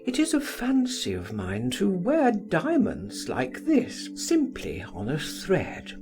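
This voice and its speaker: Society lady performed by a male narrator: poised, gracious, light, raised pitch, literary audiobook. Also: huge pitch swings, slow pace, music in the background, exaggerated voice.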